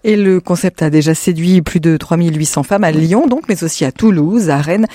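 A woman radio host introducing a guest and asking interview questions, with a smooth, measured presenter delivery. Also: lowish voice, mellow, dry recording, studio quality. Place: radio studio. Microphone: studio microphone.

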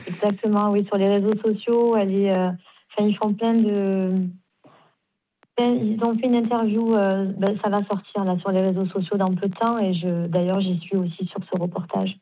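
A woman in her forties calling into a radio show, speaking haltingly, repeating words and agreeing warmly. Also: medium pitch, flat delivery, phone-quality sound, slight background noise. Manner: halting, repeats herself, warm and emphatic agreement. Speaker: caller, mother. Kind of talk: phone interview on radio. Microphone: phone line.

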